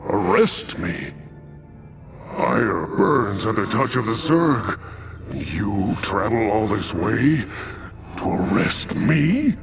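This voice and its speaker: A noble alien warrior leader for a video game. The voice is fairly low and gravelly, swinging dramatically through proud, formal lines, with music underneath. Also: male voice, very animated, slow pace, exaggerated voice. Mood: indignant. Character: noble alien templar commander, proud and earnest.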